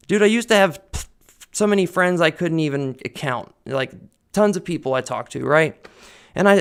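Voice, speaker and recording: A man speaks into a desk mic at home, loud and earnest, rambling through fillers and restarts. His voice is gravelly yet resonant and sits a little high for a man. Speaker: vlogger. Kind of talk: casual personal talk to camera. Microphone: desk condenser mic on a boom arm.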